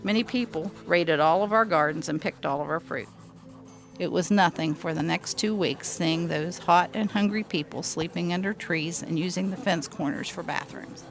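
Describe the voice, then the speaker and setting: A woman's voice: lowish voice, normal speed, creaky, over music, poor audio. A woman acting as a rural eyewitness, narrating her recollections in an audio drama. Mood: reflective. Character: woman recalling rural crash aftermath as eyewitness. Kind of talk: scripted first-person narration.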